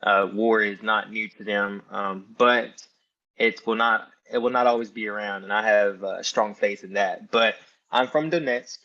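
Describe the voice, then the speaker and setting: Guest: young American man, low voice, earnest and reflective, lo-fi recording. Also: measured pace, sharp tone. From Ukraine. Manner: earnest, reflective, many fillers. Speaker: podcast guest. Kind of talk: casual interview talk. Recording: dull lo-fi sound.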